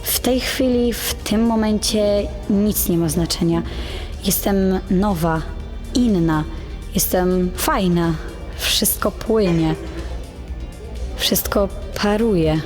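A woman's voice: medium pitch, slow pace, long pauses, darkish tone, lightly acted. A young actress playing an insecure teenage girl who narrates her inner thoughts as a confession in an audio drama. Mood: dreamy, elated. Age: teens to young adult. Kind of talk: introspective first-person dramatic narration. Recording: music under the voice.

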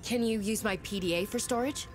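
An actress playing a feisty, wary human explorer in a video game, expressive and sardonic. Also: female voice, on the high side, a little fast, soft background music, close to an everyday voice. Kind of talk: scripted video game acting.

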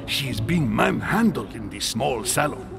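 An actor playing a pious, anxious older churchman in a video game, speaking in a creaky, fretful way. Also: medium pitch, expressive, normal speed. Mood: worried. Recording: game audio with music behind.

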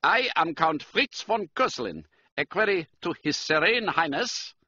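A man's voice: fairly high pitch, expressive, a little slow, bright, forceful tone, dry recording, highs cut off, voice pushed up, full character voice. A man voicing a stiff, ceremonious German count with a florid, formal way of speaking in a storybook reading. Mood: courtly.

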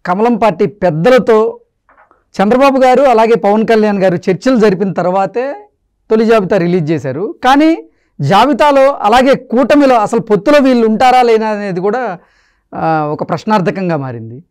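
High-voiced young male interviewer. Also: animated, moderate pace, darkish tone, clean tone. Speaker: interviewer, journalist. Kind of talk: conversational news interview.

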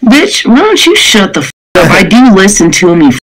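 A woman's voice: mid-range voice, huge pitch swings, a little fast, poor audio. A woman in her thirties streaming from a living room into a webcam, combative and swearing. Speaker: livestreamer. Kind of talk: casual live stream talk.

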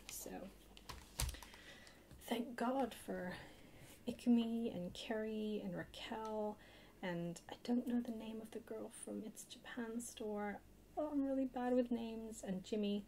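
Hushed, creaky-voiced woman doing ASMR. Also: mid-range voice. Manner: hushed, often dropping into whispers. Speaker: haul video host. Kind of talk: soft-spoken ASMR haul chat. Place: indoors at a table. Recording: noisy close home recording.

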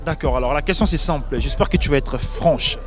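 A man's voice: fairly high pitch, music in the background. A young man holding a handheld mic, teasing passers-by with cheeky questions on a busy city street. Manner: playful, joking, quick follow-ups. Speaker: street interviewer. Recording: street noise behind.

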